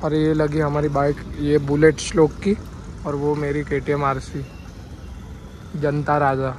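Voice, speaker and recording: A young man talks casually to his viewers while riding through city traffic, his throat a bit hoarse. His voice sits high and stays fairly flat. Loud engine and street noise fill the background. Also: a little slow. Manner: chatty, addresses viewers as guys and brother. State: hoarse from dust. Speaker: motovlogger. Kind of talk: casual motovlog narration. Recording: noisy outdoor street recording. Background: traffic and motorcycle engine noise.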